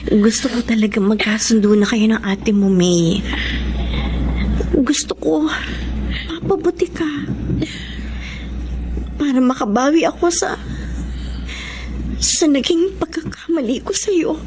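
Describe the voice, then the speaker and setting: A woman's voice: on the high side, takes it slowly, long pauses, darkish tone, a little hiss or hum. An actress playing an earnest, caring, guilt-ridden young female friend, pleading in a radio drama. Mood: guilty, earnest. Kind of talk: Tagalog radio drama acting. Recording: music playing under the voice.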